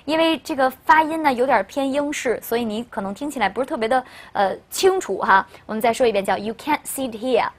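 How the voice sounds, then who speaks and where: A woman's voice: sits high, brisk, hardly any pauses. A young woman teacher presenting a lesson to camera, explaining to learners and stressing key phrases. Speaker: English teacher. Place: indoor studio.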